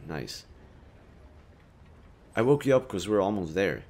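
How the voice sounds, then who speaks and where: A man acts out a kind young male stranger in an intimate roleplay audio drama. His voice sits fairly low and moves around in pitch a lot. He talks casually and a little awkwardly, teasing as he helps. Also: husky, heavily acted. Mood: gentle, guiding. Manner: casual, playfully teasing, mildly awkward, swearing.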